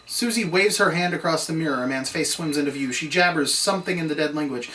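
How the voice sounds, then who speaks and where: Male narrator for a script read-through, bright and fairly high. Distant mic, faint noise. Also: quick pace. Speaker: narrator reading stage directions. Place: room, read aloud at a table.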